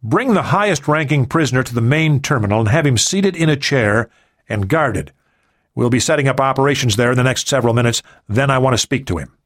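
A man's voice: mid-range voice, expressive, a little fast, lightly acted. A man voicing a curt male mercenary commander who gives clipped, authoritative orders. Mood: commanding.

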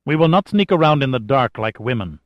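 A man's voice: mid-range voice, very animated, brisk, no room sound, natural voice. A ruthless, arrogant male militant leader, as acted by an audiobook narrator, hot-tempered and commanding. He sounds clipped and scowling, with a slightly muffled recording. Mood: menacing, contemptuous. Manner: hissing, commanding, snapping.

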